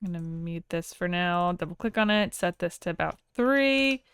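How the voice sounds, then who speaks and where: A woman teaching audio software in a casual, conversational screen-share tutorial, informal and enthusiastic about her tools. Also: on the low side, expressive, normal speed, smooth, no echo.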